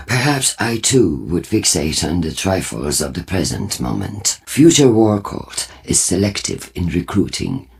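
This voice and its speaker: An actor voicing a solemn, prophetic war cult leader in a video game, speaking formally and deliberately with a husky voice. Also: male voice, low voice, big pitch swings, heavily acted. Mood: grave, measured. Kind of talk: scripted video game character dialogue.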